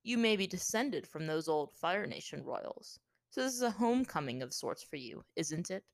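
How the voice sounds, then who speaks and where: Woman acting smug, menacing male captor, low-pitched. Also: normal speed, vocal fry, no room sound, studio quality, heavily acted. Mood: smug, mocking. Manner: calm, offhand, condescending taunting.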